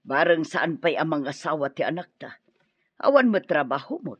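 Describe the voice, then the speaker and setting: A woman playing an ailing, talkative older mother in a radio drama, with an Ilocano Filipino accent and a husky voice. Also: low-pitched, big pitch swings, normal speed, no echo, heavily acted. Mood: weary, worried. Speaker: voice actress. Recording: dull lo-fi radio drama audio.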